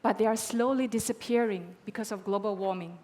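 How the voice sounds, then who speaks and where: A woman in her thirties from Malaysia, a climate scientist giving a rehearsed pitch on a conference stage with dramatic, measured pauses. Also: a little mellow.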